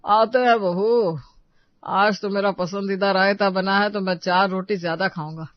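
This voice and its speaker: A woman's voice: medium pitch, fairly even intonation, measured pace, no echo, close to an everyday voice. A mild family elder, an older father-in-law, as a woman narrator puts him on, speaks without hurry. The story recording is a little dull. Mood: content. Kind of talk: Hindi moral-story character dialogue.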